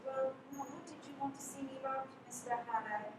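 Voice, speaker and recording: Echoey, high-voiced suave villainous professor played by a young woman. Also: natural voice. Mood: courteous, probing. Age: teenager. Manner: polite, measured, coolly threatening. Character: suave, menacing professor, secretly a murderous foreign spy. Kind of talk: live radio play acting. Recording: echoey, distant livestream with loud rumbling noise.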